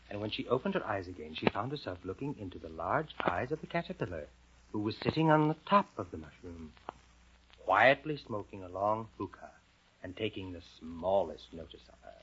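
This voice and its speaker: A man playing a gentle, indulgent, slightly pedantic gentleman telling a story in period drama style. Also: fairly low pitch, background noise. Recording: dull lo-fi old soundtrack, faint music.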